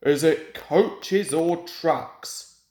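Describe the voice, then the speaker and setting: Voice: medium pitch, very slow, a little mellow. A man voicing a pompous, grumbling, indignant male steam engine from a children's storybook. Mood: cross. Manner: indignant, self-important. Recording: roomy home recording with echo.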